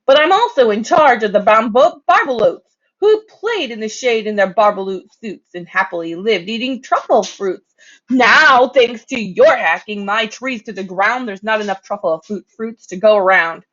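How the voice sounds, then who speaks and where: Storybook creature voiced by a reader: old, indignant guardian of trees, croaky complaints, swooping pitch, lo-fi sound. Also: expressive, normal speed, a bit bright. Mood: indignant, sorrowful. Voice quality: coughing, croaky. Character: small gruff scolding old creature who guards trees.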